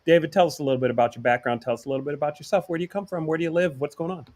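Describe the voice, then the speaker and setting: A man's voice: a little high, very fast, a little mellow. Nasal man with a flu. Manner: joking, rambling storyteller. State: getting over the flu, nasal. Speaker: podcast co-host. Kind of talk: casual chat.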